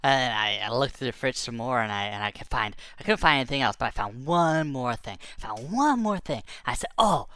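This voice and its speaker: A woman's voice: a bass voice, animated, brisk, hardly any pauses, fairly bright tone, clean tone, dry recording. A woman telling an improvised children's tale in a playful way, repeating phrases for effect. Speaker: storyteller.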